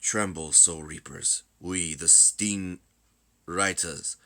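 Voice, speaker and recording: A man voicing a menacing, formal herald of an invading army in a game story, slow and declamatory. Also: deep voice, steady tone, bright, forceful tone, heavily acted.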